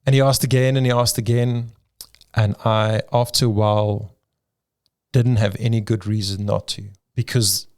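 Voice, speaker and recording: A middle-aged South African man, a pastor whose first language is Afrikaans, telling his story in an interview with frequent ums and restarts. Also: medium pitch. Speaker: pastor and church planter.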